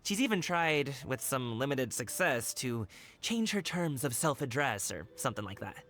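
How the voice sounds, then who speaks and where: A man's voice: mid-range voice, big pitch swings, normal speed, fairly bright tone. An actor voicing a friendly young male guard in a video game, with a breezy, eager, casual manner. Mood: friendly, concerned. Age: young adult. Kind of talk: animated video game dub acting. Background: background music.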